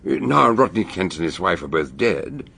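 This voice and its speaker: Eccentric British detective, low, creaky, animated. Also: male voice, moderate pace, full character voice. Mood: genial, inquisitive. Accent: British English. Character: eccentric, brilliant male detective doctor, genial and excitable. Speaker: actor playing the detective and narrator. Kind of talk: British radio detective drama acting.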